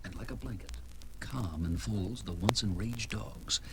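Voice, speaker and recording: An actor as the melodramatic, portentous storyteller of a gothic horror tale on a 1970s audio drama. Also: male voice, lowish voice, measured pace, background noise. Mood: dramatic. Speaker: narrator. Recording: loud dramatic music under narration. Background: loud music.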